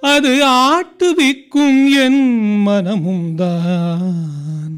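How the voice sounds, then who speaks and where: A man acting as a lovestruck young man, dreamily reciting love poetry in a radio drama with drawn-out phrases. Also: high-pitched, a bit bright, heavily acted. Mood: dreamy, adoring.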